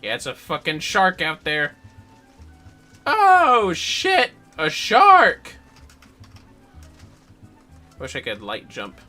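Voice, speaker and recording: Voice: medium pitch, huge pitch swings, drawn-out words, long pauses, pushed and bright, soft background music. A gamer giving casual livestream commentary in a playful, reactive, sweary way. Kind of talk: casual game-stream commentary.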